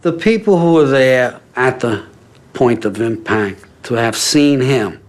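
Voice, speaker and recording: Slow-talking man in documentary interview. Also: medium pitch, lively intonation. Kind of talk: reflective interview commentary. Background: faint background music.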